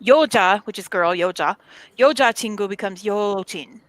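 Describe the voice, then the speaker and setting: A woman's voice: mid-range voice, moderate pace, no room sound. A woman in casual chat who explains things at length and laughs often.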